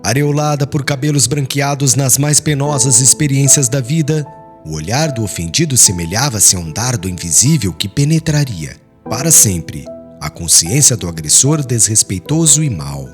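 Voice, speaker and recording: A man reading an audiobook as dramatic literary narration, in a solemn, formal and expressive manner. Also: mid-range voice. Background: background music.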